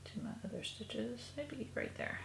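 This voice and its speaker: Soft-spoken woman, gravelly and sniffly. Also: on the high side, measured pace, a little hiss or hum. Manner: soft, musing, often trailing into a half-whisper. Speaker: crafter showing a stitching project. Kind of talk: casual crafting tutorial. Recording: echoey room recording.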